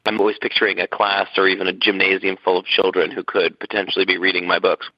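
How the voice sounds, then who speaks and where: A man's voice: low-pitched, level tone, fast, no echo. A man, a children's author, talking enthusiastically on a podcast panel. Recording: dry, dull lo-fi audio.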